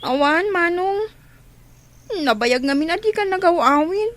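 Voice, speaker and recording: A woman's voice: extremely high pitch, animated, measured pace, background noise. A woman with an Ilocano accent playing a young student in a radio drama, pleading and protesting, respectful but firm. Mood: upset, pleading.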